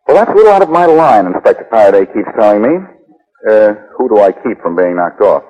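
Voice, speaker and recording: Wisecracking 1940s radio detective, husky, lively. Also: male voice, fairly low pitch, brisk. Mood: breezy banter. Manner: glib, teasing banter. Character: wisecracking, flirtatious, cool-headed male private detective. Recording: muffled, lo-fi 1940s radio recording.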